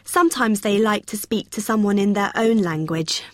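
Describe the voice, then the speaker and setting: A friendly young female student helper, played by a woman explains things patiently in an everyday listening-exercise dialogue. Her voice sits at a middle pitch, recorded very dry. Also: normal speed, no echo, lightly acted. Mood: friendly, helpful. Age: young adult. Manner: helpful, explanatory.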